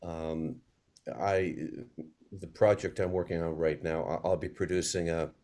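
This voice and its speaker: A man speaking haltingly and repeating himself as he introduces himself as a guest on a casual online panel. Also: sits low, fairly even intonation, darkish tone. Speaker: panel guest, playwright and teacher.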